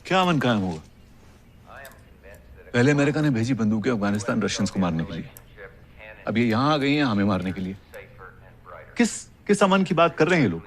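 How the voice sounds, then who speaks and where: A man's voice: mid-range voice, very animated, brisk, pauses often, lightly acted. An actor playing an opinionated, argumentative Kashmiri family man in naturalistic film dialogue, dropping in English phrases. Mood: indignant.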